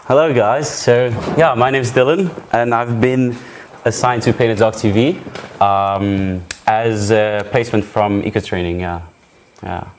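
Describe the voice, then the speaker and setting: A man's voice: fairly low pitch, steady tone, unhurried, slight background noise. A young man from Zurich introducing himself on a live show, friendly and enthusiastic, with slightly phrasing. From Switzerland, Zurich. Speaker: guest, wildlife photographer. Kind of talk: casual self-introduction.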